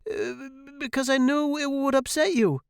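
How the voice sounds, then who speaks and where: Man as a nervous young son, raised pitch, meek, hesitant, bookish, storybook dialogue, dry sound. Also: normal speed, gravelly, dry recording. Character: timid, bookish teenage boy, nervous son. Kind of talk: acted audiobook dialogue.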